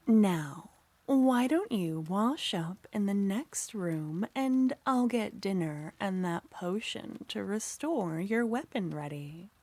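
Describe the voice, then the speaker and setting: Lady playing a clingy flirty witch, super slow and whispery, teasing asmr vibe. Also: mid-range voice, lively intonation, no room sound. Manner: soft, teasing, often whispered. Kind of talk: ASMR roleplay.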